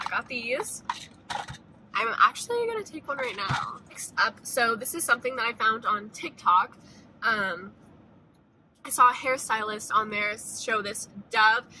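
A woman's voice: mid-range voice, bright, forceful tone, a little hiss or hum, rough recording. A young woman vlogger chatting casually about a shopping haul, upbeat, with lots of "like" and "um", filmed on a phone at arm's length in a parked car. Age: young adult. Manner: chatty, upbeat, many "like" and "um" fillers. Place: parked car, then a big store. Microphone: phone camera at arm's length.

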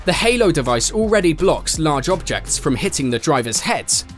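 A man's voice: fairly high pitch, sing-song, normal speed. A man narrating a scripted motorsport explainer as a voice-over, with playful, sarcastic asides. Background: faint background music.

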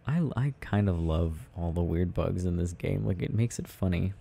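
A man murmuring haltingly to himself in an ASMR style as he rambles while playing a video game. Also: sits low, creaky, warm tone. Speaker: audio performer playing a boyfriend gaming.

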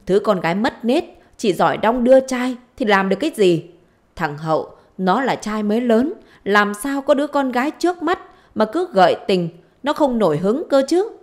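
A woman's voice: medium pitch, expressive, normal speed, lightly acted. A woman voicing a spiteful, foul-mouthed middle-aged village wife in a story, scolding, wailing and taunting.

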